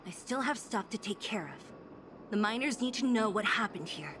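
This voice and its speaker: A woman's voice: mid-range voice, lively intonation, moderate pace, background noise, natural voice. A young woman voicing a tough, blunt and weary but loyal fighter in a video game. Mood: firm.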